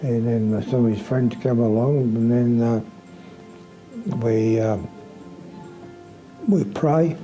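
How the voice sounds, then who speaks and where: An older man giving a halting personal account in an interview, repeating words. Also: medium pitch, steady tone, dark tone, soft background music, low-quality recording.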